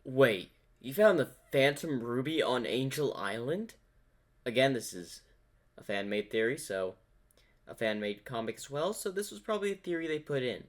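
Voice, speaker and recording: A man's voice: medium pitch, expressive, moderate pace. Male reaction host, mid-range voice, casual fan commentary with a rambling, theorizing manner.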